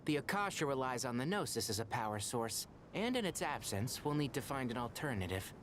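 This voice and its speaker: An actor playing an earnest, articulate scholar who explains plans in an anime-style video game. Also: male voice, medium pitch, sing-song, brisk, background noise, lightly acted. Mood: explaining.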